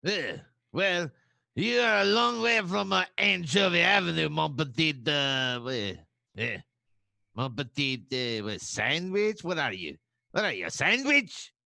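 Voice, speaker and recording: A gruff French garbage can puppet in a play. The voice is heavily gravelly and sharply bright, with a thick smoker's edge. He talks slowly and haltingly, searching for words. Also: fairly high pitch, sing-song, no room sound, studio quality, exaggerated voice. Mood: dismissive, halting. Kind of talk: live play reading, puppet character voice.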